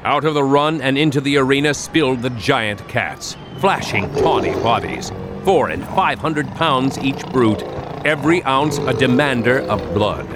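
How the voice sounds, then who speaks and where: A man giving tense, measured, theatrical narration for an audiobook production. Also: mid-range voice, animated. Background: music.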